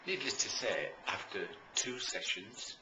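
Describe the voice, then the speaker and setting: A British man speaking as a coach promoting his services in an informal, enthusiastic and emphatic talk with deliberate pauses, recorded in a roomy space. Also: on the low side, expressive. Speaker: life and business coach promoting own services. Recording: roomy echo, faint background noise.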